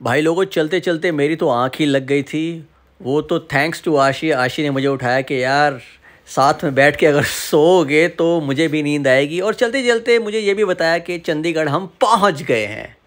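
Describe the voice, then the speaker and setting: A man's voice: fairly high pitch, unhurried. A young man chatting casually to his viewers as a travel vlogger. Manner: chatty, addresses viewers directly. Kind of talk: casual vlog narration. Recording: noisy road-trip background.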